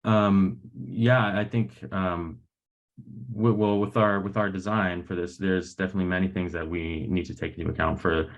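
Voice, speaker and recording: A young adult man answering as a graduate researcher in an academic webinar, speaking in halting phrases with repeated words over a video call. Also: low voice, little pitch movement, dull audio. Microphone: video call microphone.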